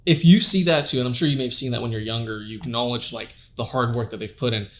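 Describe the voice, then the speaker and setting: A man's voice: mid-range voice, fast talker, a bit bright. A man hosting a casual podcast interview, talking in a laid-back, rambling way with many fillers. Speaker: podcast host.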